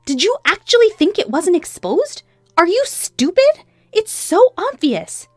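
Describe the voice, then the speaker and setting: A woman voicing an indignant, scornful betrayed wife in a narrated story, sarcastic and forceful. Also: high-pitched, big pitch swings, moderate pace, dry recording, studio quality, faint music behind, pitched above the performer's own voice. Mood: angry.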